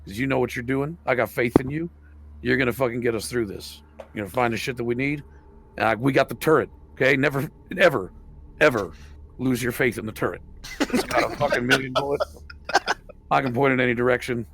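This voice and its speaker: A man's voice: medium pitch, fairly quick, vocal fry, fairly bright tone, a little hiss or hum. A man talking casually as a player in a tabletop roleplaying game, informal in his way of speaking.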